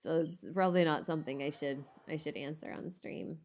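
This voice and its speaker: Low-pitched woman chatting casually on stream. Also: fairly even intonation, a little fast, darkish tone. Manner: informal, hesitant, thinking aloud with mild swearing. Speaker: livestream host answering viewer questions. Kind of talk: casual livestream Q&A chat about game lore.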